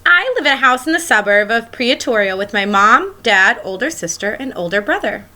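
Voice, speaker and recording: A woman's voice: fairly high pitch, lively intonation, normal speed, pushed and bright. A woman schoolteacher reading a children's picture book aloud, expressively and as if speaking directly to young listeners.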